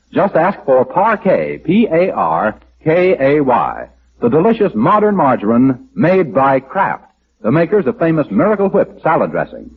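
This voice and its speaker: An American man announcing in a polished, emphatic 1940s radio sponsor style. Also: mid-range voice. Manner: polished, emphatic sales delivery. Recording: dull vintage radio, faint noise.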